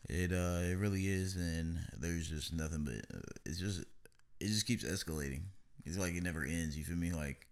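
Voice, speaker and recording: A man from hosting a casual, profane podcast chat in a laid-back, drawn-out way. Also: sits low. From Louisville, Kentucky. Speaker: podcast host.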